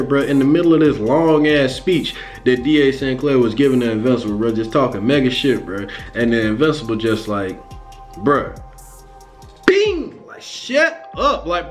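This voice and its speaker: An American man giving casual, animated fan commentary in slangy, profane, conversational speech, acting out lines as he retells a story. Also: a little high. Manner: animated, conversational, acts out lines and sound effects. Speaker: host of a comics and animation channel.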